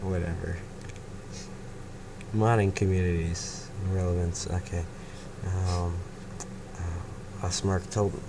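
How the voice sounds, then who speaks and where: A man's voice: deep voice, level tone, slow pace, pauses often, background noise. A man reading a fanfic story aloud casually, tripping over words and chatting in asides. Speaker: fanfiction reader.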